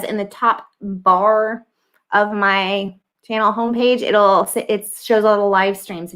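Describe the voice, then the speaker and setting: A woman's voice: medium pitch, a bit bright. Casting: American woman host, thirties, casual livestream Q&A, slightly scratchy throat, chatty and loose. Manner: chatty, thinks aloud with many ums. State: scratchy throat, clearing it often. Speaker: livestream host answering viewer questions. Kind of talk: casual live Q&A.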